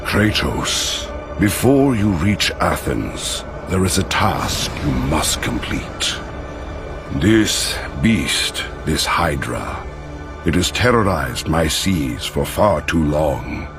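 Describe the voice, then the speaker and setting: A man's voice: extremely low pitch, lively intonation, takes it slowly, heavily acted. A man voicing an imposing sea god in a game cutscene, stern, grand and commanding. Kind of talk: theatrical game cutscene acting. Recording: loud ominous score behind. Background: loud ominous music.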